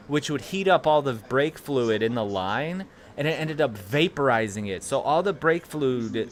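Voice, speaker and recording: Young dude w/ a chicago accent, casual livestream chat, swears a lot, small room at home, desk mic. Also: male voice, mid-range voice. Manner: rambling, profane storytelling. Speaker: livestream host.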